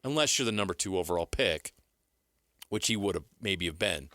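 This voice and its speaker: A man's voice: deep voice, lively intonation, brisk, fairly bright tone, no room sound, clean studio sound. An American man co-hosting a sports talk show, giving opinionated, blunt commentary in an informal, conversational way.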